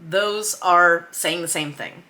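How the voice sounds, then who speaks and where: A woman's voice: lowish voice, slow pace, pushed and bright. A woman explaining craft informally in a vlog, thinking aloud in an emphatic, self-deprecating way. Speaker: screenwriter and director teaching script revision. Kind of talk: informal explainer vlog about script revision.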